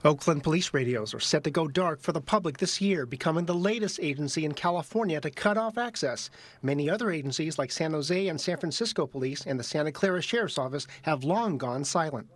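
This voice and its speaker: Male field reporter, slightly higher voice. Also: animated, dry recording. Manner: crisp, measured news delivery. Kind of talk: news report, live stand-up. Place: outdoors on location.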